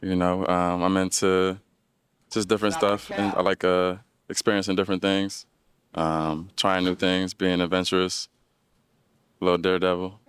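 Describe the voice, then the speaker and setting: A man's voice: deep voice, little pitch movement, normal speed, fairly bright tone. A man in his thirties, a dating show contestant, answers questions hesitantly with many ums and uhs. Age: 30s.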